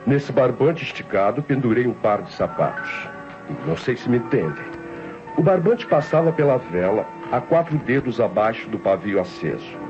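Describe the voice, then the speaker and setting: An actor playing a cold-blooded, scheming nephew who narrates his murder plot in deliberate, theatrical 1960s radio crime drama style. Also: medium pitch, sing-song. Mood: calculating, confiding. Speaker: narrator and protagonist. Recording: muffled old radio recording with music.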